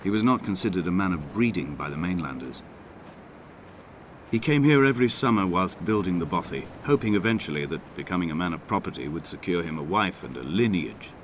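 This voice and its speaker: An actor playing a lone, grieving castaway, narrating in a measured, solemn voice as a literary game monologue. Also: male voice, low voice, expressive, slight background noise. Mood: somber, reflective. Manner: brooding, measured, solemn, poetic delivery. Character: lone, grieving, injured male castaway narrating his island exile. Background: prominent music.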